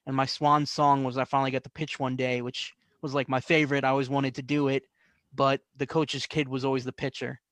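A man's voice: mid-range voice, flat delivery, brisk, fairly bright tone, dry recording. A young adult man from the New York area telling stories as a guest in a casual interview, with many fillers and restarts. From New York and New Jersey, US. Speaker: guest artist.

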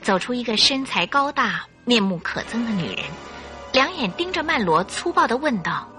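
A woman narrating a scripted radio drama in an expressive, suspenseful storytelling style. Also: fairly high pitch, moderate pace, faint music behind.

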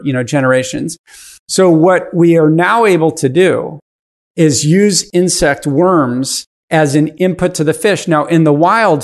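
Explanatory man in his fifties. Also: on the high side. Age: 50s. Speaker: podcast guest. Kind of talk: casual interview talk.

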